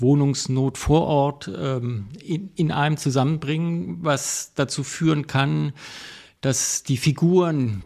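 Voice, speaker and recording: A man, a theatre critic and podcast guest, speaking thoughtfully and pausing with many fillers. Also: medium pitch, creaky, darkish tone. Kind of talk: podcast interview.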